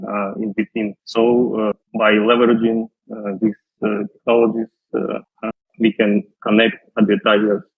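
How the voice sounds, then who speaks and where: A man, answering interview questions slowly and carefully, with many fillers and restarts. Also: lowish voice, little pitch movement, creaky, slightly rough, no room sound. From Russia. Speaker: guest, data scientist. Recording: tinny phone-like line.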